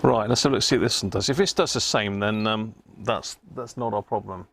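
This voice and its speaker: A man with a creaky, gravelly voice hosts a relaxed hobby livestream. He chats in a matey way and mutters to himself while tinkering, with noticeable background noise around him. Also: on the low side, brisk. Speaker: livestream host and electronics hobbyist. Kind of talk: casual live chat while working at a bench.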